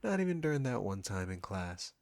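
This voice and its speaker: Man acting as a low-voiced, cocky chef. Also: sing-song, brisk, gravelly. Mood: smug teasing. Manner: smug, bantering, teasing. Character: cocky, condescending male chef, teasing a longtime rival. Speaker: audio roleplay performer. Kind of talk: intimate roleplay audio drama addressed to the listener.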